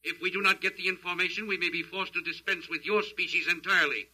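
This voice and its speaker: An emotionless alien jailer from a 1950s science-fiction radio play. The voice sits fairly high and has a very hard, bright edge. Its phrasing is stilted and coldly logical, with menace underneath. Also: male voice, fairly even intonation, fast talker, husky, exaggerated voice. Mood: cold, insistent. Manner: stilted, formal, literal phrasing. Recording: vintage 1950s radio recording.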